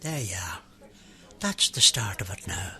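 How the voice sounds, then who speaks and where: Tiny barman, calm and fatherly, slow lively speech, noisy recording. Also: on the low side. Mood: patient. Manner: calm, fatherly, calls the customer son. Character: tiny old bartender, patient and wry.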